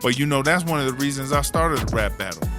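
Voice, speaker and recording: A man's voice: mid-range voice, lively intonation, fast, natural voice. A man playing a streetwise, jaded female prison lifer, telling stories in casual, profane slang for a comedy audio drama. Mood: animated, confiding. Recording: music bed under the voice. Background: music.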